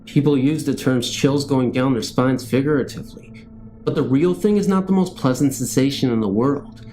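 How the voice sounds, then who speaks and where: A man narrates eerie true-life tales in a measured, storytelling way. His voice is soft-edged and dark in tone, set at a middling pitch, with faint music underneath. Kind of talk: scripted narration of scary first-person stories. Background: background music.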